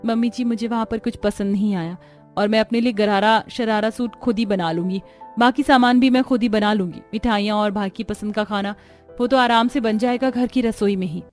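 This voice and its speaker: A woman's voice: medium pitch, steady tone, brisk, faint music behind, natural voice. A woman playing a modest, polite, hardworking young daughter-in-law in a cartoon family story. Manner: polite, unassuming.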